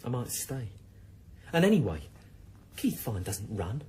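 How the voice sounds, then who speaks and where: Very raspy, cocky criminal informant. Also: male voice, mid-range voice, very animated, measured pace, warm tone, exaggerated voice. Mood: cocky, edgy. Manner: mocking, swaggering. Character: cocky, jittery criminal police informant, defiant. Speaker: actor in radio drama. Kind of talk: 1990s radio drama acting.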